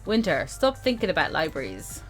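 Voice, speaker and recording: A woman voicing a stern, condescending male mentor in a visual novel, speaking in a measured, lecturing way. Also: sits low, huge pitch swings, soft background music, voice pushed down, low-quality recording. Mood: dismissive.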